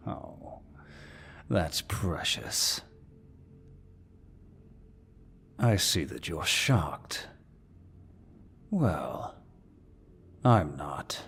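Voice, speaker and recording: Casting: male actor for a suave, dominant demon villain, very deep and husky, mocking delivery for roleplay audio. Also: exaggerated voice. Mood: amused, scornful. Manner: slow, mocking, mock-courteous, theatrical. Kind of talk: ASMR roleplay, scripted villain monologue.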